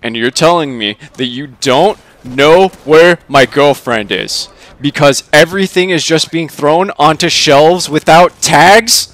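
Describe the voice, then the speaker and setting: Exasperated everyman played by a male reader, high-pitched, incredulous and sarcastic, short-story character at the end of his rope. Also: measured pace, fairly bright tone. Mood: bitter muttering. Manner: incredulous, swearing, emphatic. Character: exasperated sarcastic man pleading with a bureaucratic machine.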